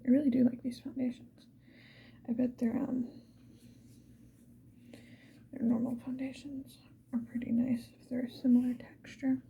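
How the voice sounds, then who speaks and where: A woman's voice: high voice, level tone, normal speed, gravelly, long pauses, phone-quality sound, a little hiss or hum. A woman whispering breathily in a casual, rambling ASMR style, unhurriedly thinking aloud. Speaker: ASMR video creator.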